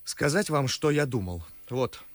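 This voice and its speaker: An actor playing a witty, mocking young gentleman in theatrical verse for a radio drama. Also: mid-range voice, animated, measured pace, lightly acted. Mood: sardonic. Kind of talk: classic stage verse acting for radio.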